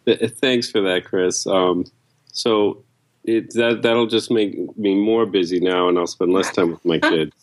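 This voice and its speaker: A man's voice: low voice, fairly even intonation, unhurried. A man, an editor, answering questions casually as a guest in an interview.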